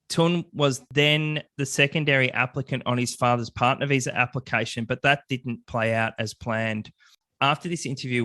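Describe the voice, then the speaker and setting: Male host, mid-pitched voice, dry close sound, steady clear questions. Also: no echo. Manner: brief encouraging replies, clear questions. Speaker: podcast co-host and migration agent. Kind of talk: interviewing guests.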